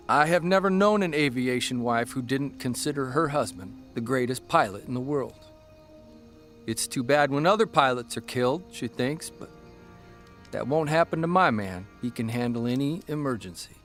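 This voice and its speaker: Sombre aviation columnist: mid-pitched, plainspoken, measured narration for a radio drama, faint music underneath. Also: male voice, fairly bright tone.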